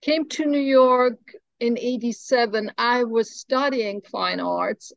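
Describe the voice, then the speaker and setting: A woman's voice: fairly high pitch, takes it slowly, creaky, no room sound, muffled recording. A middle-aged woman, from Germany, chatting as a guest in an interview, playful, joking and laughing often. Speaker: guest, stop motion animator. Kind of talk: casual interview chat.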